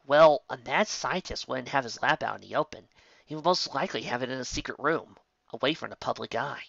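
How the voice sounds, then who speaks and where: Narrator playing young male dragon, blunt, matter-of-fact, clever, bright voice, fan fiction storytelling. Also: mid-range voice, brisk, creaky, dry recording, dull audio.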